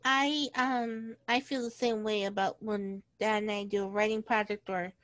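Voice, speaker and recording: Co-host: young woman, monotone, dry close sound, earnest. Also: medium pitch, no room sound. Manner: earnest, halting with ums. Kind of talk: casual podcast chat.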